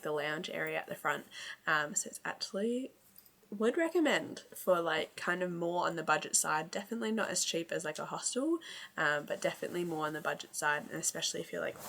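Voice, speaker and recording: A woman's voice: fairly low pitch, a little fast, creaky, fairly bright tone. A young woman talking casually to her camera as a travel vlogger, rambling with lots of fillers. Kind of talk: casual vlog talk to camera. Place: travel spots and a hotel pod.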